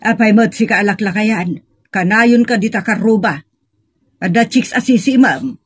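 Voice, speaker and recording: An actress playing a nagging, suspicious older wife in an audio comedy drama, scolding with sarcastic complaints. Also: female voice, medium pitch, normal speed, slightly rough, dry recording, studio quality, lightly acted. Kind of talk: comedy radio-style drama acting.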